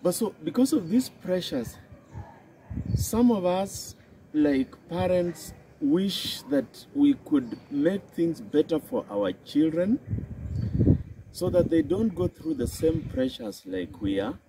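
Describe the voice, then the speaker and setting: Kenyan man, unhurried and lilting, mellow tone, faint outdoor hiss. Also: very animated, rough recording. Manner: slow and deliberate, with a swooping emphatic pitch. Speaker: psychology and health specialist. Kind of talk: reflective talk to camera.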